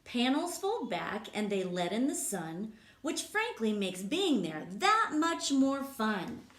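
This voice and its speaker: Woman reading as an excited young boy in rhyme. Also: on the high side, slow, exaggerated voice. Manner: bouncy sing-song rhyming delivery. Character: imaginative young boy dreaming up a school. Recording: echoey room.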